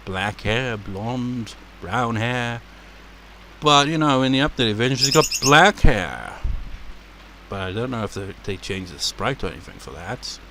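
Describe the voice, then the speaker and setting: A man with a deep voice giving casual, rambling commentary as a let's play host, laughing and swearing as he goes. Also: unhurried, pushed and bright, a little hiss or hum, poor audio. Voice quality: naturally deep voice.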